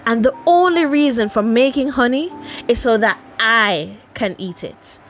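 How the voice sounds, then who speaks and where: A woman's voice: a little high, animated, a little slow, soft background music. A woman voicing a musing, honey-loving little storybook bear who rambles earnestly to himself. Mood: pondering.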